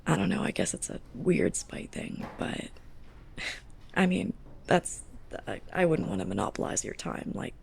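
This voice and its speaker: Woman acting a creaky, hushed, lovestruck library assistant. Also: low-pitched, sing-song, a little hiss or hum, exaggerated voice. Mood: shy, rambling. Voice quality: soft, breathy, often near a whisper. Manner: halting, awkward, self-conscious. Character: sarcastic, lovestruck young woman librarian's assistant, prickly and flustered. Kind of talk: ASMR roleplay, intimate monologue to the listener.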